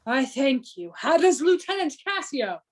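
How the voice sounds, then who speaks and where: A dignified envoy of state acted by a woman in a Shakespearean radio play. The voice is high, and the delivery formal and courteous. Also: sing-song, unhurried. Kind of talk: Shakespearean radio drama acting.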